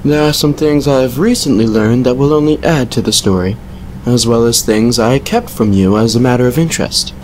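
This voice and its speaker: A man playing a courteous, secretive vampire lord in an audio drama, speaking in a measured, formal, confiding way. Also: mid-range voice, a little mellow, a little hiss or hum, natural voice, rough recording. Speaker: voice actor in a fan fiction audio drama. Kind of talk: dramatic character dialogue and storytelling.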